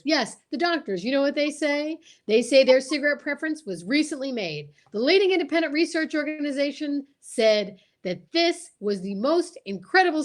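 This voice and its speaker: A woman announcer giving a showy sales pitch in the style of old-time radio.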